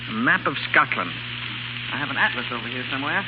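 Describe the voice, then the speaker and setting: An actor playing a gallant, skeptical young gentleman in a 1930s radio drama, with a wry, polite, brisk manner. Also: mid-range voice, lively intonation, close to an everyday voice. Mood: reassuring, amused. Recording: muffled, noisy 1930s radio broadcast.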